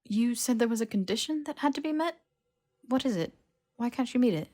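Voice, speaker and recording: Woman playing an insistent young male wizard, raised pitch. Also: lively intonation, a little fast, dark tone. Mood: incredulous. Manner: blurting, insistent questioning. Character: earnest, stubborn, incredulous young male wizard.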